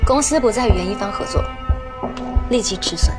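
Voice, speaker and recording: A woman's voice: a little high, animated, fairly quick, over music, natural voice. An actress playing a composed, guarded young businesswoman in a screen drama. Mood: firm, urgent.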